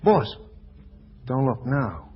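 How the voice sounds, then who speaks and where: An eager, none-too-bright American hoodlum. His voice is husky and jumps around in pitch, with ungrammatical slang. It sounds like a muffled 1940s radio comedy. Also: sing-song, extremely slow, exaggerated voice. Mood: matter-of-fact. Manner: ungrammatical tough-guy slang. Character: eager, dim-witted male gangster henchman. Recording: dull, muffled old radio recording.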